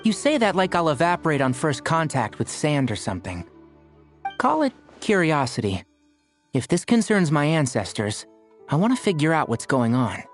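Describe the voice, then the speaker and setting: A man voicing a gentle, composed young male doctor with dry wit in a video game, polite and measured. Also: on the high side, huge pitch swings. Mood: wry. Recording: music playing behind the voice.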